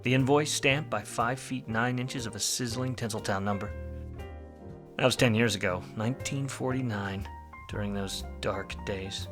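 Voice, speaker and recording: An actor playing a world-weary, wisecracking private detective in a film noir radio play, wry and slangy. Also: male voice, on the low side, normal speed, music in the background, natural voice. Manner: hard-boiled, wry, slangy.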